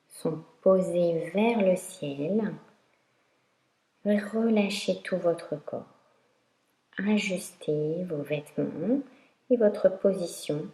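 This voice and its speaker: A woman teaching yoga nidra, guiding a meditation in a calm, instructional way with long pauses. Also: on the low side. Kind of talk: guided meditation narration. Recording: roomy echo.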